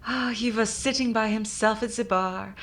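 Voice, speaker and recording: A woman playing a devoted, glamorous German wife in a radio comedy, melodramatic yet deadpan. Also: fairly high pitch, moderate pace. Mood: coy. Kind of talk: old-time radio comedy acting.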